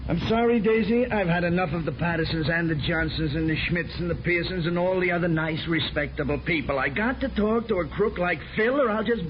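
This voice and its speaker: An American actor playing an earnest, slangy small-time crook and henpecked husband in a 1950s radio crime comedy. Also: male voice, high-pitched, a little fast, hardly any pauses, close to an everyday voice. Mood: pleading, exasperated. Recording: muffled, noisy 1950s radio recording.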